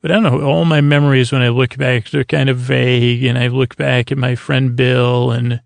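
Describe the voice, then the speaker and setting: Middle-aged male sleep-podcast host: heavy vocal fry, digressive and halting delivery, dry recording. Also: medium pitch, flat delivery, dry recording. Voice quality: gravelly, creaky. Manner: halting, digressive, trails off and restarts mid-sentence. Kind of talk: rambling, meandering bedtime monologue.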